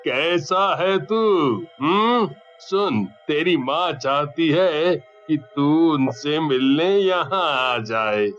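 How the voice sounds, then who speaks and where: A gruff village father acted by a man in a cartoon story, talking very slowly and measuredly. The sound is dull, with faint music under it. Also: fairly high pitch, animated, a bit bright, heavily acted. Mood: calm. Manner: unhurried, measured.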